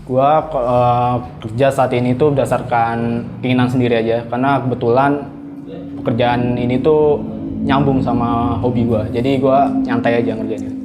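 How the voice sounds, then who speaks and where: A man's voice: mid-range voice, little pitch movement, normal speed, background noise. A man of twenty-three, casually answering interview questions. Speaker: interviewee, young worker.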